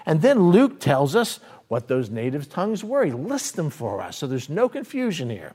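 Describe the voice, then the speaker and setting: Man, pastor, fairly high voice, animated sermon delivery. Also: moderate pace, slightly rough. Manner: animated preaching with sudden swings in pace and loudness. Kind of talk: sermon teaching on scripture.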